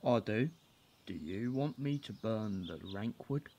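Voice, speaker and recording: A man's voice: lowish voice, animated, measured pace, a little mellow, muffled recording. A man playing an obedient, shaken helper in an audio drama, speaking hesitantly and deferentially. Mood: uneasy, subdued.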